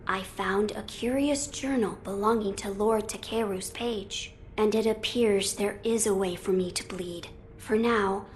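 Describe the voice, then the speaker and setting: A woman's voice: mid-range voice, a little hiss or hum, natural voice. A woman playing an earnest, noble young boy lord in a video game, formal, thoughtful and composed. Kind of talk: video game cutscene acting.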